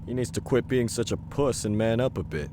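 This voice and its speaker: A man's voice: mid-range voice, expressive, brisk, noisy background, natural voice. An actor playing a blunt, crude male ex-soldier who taunts his squad in an audio drama. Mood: taunting. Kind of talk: audio fiction acting. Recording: music bed underneath.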